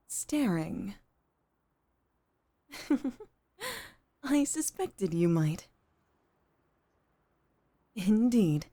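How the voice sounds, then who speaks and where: A woman playing a poised, gentle head maid off duty in an intimate ASMR roleplay, speaking with formal, measured politeness. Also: medium pitch. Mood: amused, bashful.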